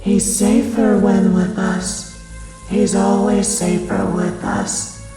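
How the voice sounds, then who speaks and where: A woman's voice: mid-range voice, flat delivery, slow pace, over music, low-quality recording. A woman voicing the obsessive, coldly protective inner voice of a female mafia boss in an audio roleplay, chanting short insistent phrases. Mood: obsessive, menacing.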